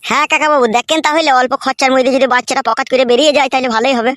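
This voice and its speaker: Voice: sits high, steady tone, rapid-fire, fairly bright tone. A performer voicing a deferential, naive young village man in a comedy cartoon. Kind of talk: Bengali comedy cartoon dubbing.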